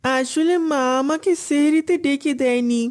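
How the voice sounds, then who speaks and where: A woman's voice: sits high, steady tone, a little slow, darkish tone. A woman voicing an eager, stubborn young schoolboy in a cartoon, with an insistent, childlike manner. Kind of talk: cartoon dialogue.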